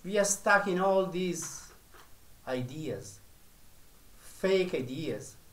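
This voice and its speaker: A male spiritual teacher preaching in a slow, deliberate way, leaving long pauses between phrases. His voice sits a little high for a man. The room around him rings with echo. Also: lively intonation.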